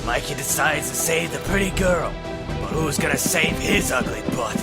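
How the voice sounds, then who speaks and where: A man's voice: on the high side, lively intonation, moderate pace, natural voice. A man playing a cocky, playful teenage mutant turtle hero with slangy dude banter in a comic-book audio drama. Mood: wry. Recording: loud music under the voice.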